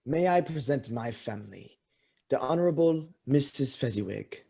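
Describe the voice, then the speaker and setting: Grand announcing, man acting jovial party host, showy proud husband, very animated pitch, radio play. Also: normal speed, gravelly, husky.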